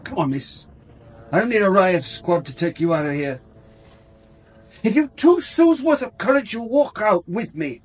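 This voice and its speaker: An actor playing a dogged, dry police inspector in a radio crime drama, curt in questioning and measured in narration. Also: male voice, sits high, lively intonation, husky. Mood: cool, defiant.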